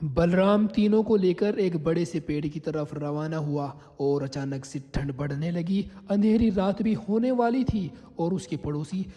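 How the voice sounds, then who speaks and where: A man's voice: fairly high pitch, unhurried, hardly any pauses, warm tone. A man narrating a scripted cartoon story as its storyteller. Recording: long reverb, music behind.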